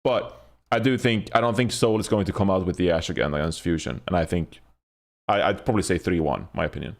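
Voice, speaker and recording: A man's voice: low voice, fast talker, vocal fry. A man hosting a casual esports panel, moderating and talking analytically through match predictions. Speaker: podcast host.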